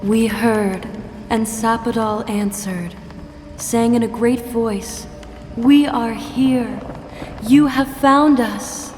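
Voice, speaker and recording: Slow, echoing ancient spirit. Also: female voice, a little high, steady tone, darkish tone. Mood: portentous. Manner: stately, solemn, portentous. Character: solemn ancient female spirit speaking for a god. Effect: long reverb. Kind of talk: video game character acting. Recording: long cavernous reverb, music behind. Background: music.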